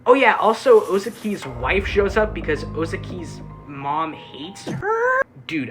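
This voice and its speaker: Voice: medium pitch, fairly bright tone, a little hiss or hum. A reviewer giving a casual, sarcastic recap, full of offhand asides and swearing. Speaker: anime reviewer.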